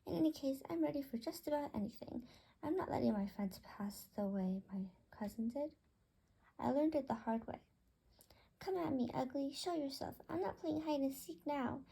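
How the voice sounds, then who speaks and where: A woman's voice: high-pitched, moderate pace, vocal fry, dark tone, low-quality recording. A woman voicing a jumpy but boastful pet bird in a storybook, with a dramatic, challenging manner. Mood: defiant.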